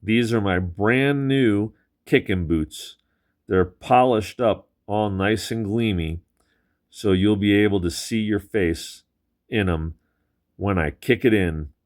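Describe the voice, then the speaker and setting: A man's voice: lowish voice, slow. A man voicing a thin, scruffy young girl who talks tough and cocky.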